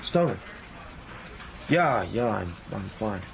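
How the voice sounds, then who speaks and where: An actor playing a terse, sardonic, haunted American crime writer in a radio drama. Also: male voice, fairly low pitch, sing-song, takes it slowly, vocal fry, background noise, exaggerated voice. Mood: brusque, sardonic.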